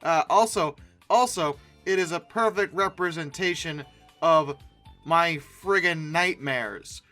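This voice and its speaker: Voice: medium pitch, slow, bright, forceful tone, faint music behind. A comedic online host giving sarcastic reaction commentary with theatrical mock outrage. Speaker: YouTube host reacting to images.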